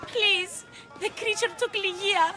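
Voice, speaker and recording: High-voiced, pleading young woman. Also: steady tone, takes it slowly, over music. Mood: desperate. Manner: pleading, impassioned. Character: frightened, devoted young woman desperate for her lover. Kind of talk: video game cutscene acting.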